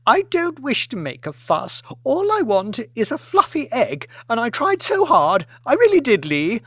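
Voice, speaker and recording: Audiobook role: petulant highborn lady, played by a man, high-pitched, wildly swooping, histrionic and imperious. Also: huge pitch swings, a little slow, sharp tone, slightly rough, no echo, studio quality, heavily acted. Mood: tearful, self-pitying. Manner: petulant, sobbing self-pity turning to furious outrage. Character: imperious, shrill, histrionic aristocratic lady throwing tantrums.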